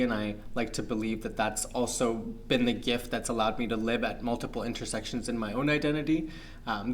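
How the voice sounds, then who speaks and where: Young male guest from Pakistan, measured reflective delivery, mid pitch, light background hum. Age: young adult. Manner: thoughtful, measured, many fillers. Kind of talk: reflective interview talk.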